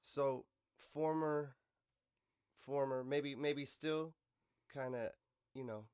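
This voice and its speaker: A man's voice: mid-range voice, steady tone, takes it slowly, darkish tone, smooth, dry recording, clean studio sound. A man hosting a podcast, chatting in a casual, slangy way like he is talking with an old friend. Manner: slangy, informal, swears casually. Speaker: podcast host. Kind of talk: casual interview chat among friends.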